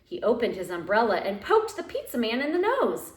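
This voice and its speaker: Lady reading a kids book out loud, highish voice, super dramatic, bit echoey living room. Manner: expressive, dramatic drawn-out phrasing. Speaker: teacher reading aloud to young pupils. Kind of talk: animated children's storybook read-aloud. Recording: roomy, echoey home recording.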